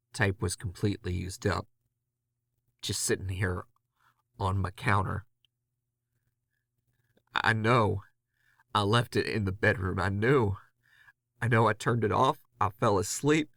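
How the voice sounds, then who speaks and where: An actor playing a haunted, panicked man recording a halting, anguished confession in a horror audio drama. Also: on the low side, fairly even intonation, gravelly, a bit bright, no echo, exaggerated voice. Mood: bewildered, frightened. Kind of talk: first-person horror audio drama monologue.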